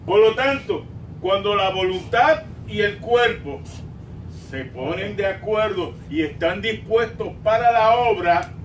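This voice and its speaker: Older puerto rican guy preaching hard in a small church, far from the mic, some rumbly noise behind. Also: lively intonation. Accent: Puerto Rican Spanish. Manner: emphatic preaching, swinging from drawn-out emphasis to quiet asides. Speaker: pastor. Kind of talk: sermon. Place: small church sanctuary, indoors at a pulpit. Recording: roomy, distant mic, rumbling background noise.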